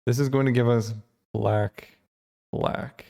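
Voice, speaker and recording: A man hosting his channel, thinking aloud in casual gameplay commentary with lots of fillers, his voice getting hoarse. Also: mid-range voice, wildly swooping pitch, takes it slowly, dark tone. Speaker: channel host.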